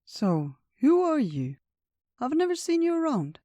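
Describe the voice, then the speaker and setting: An unhurried, wise old male bear from a storybook, acted out by a narrator, welcoming guests and telling tales with theatrical, drawn-out phrases. The sound is dry and gentle. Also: sits high, wildly swooping pitch, dry recording, pitched above the performer's own voice, exaggerated voice. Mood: curious. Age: older.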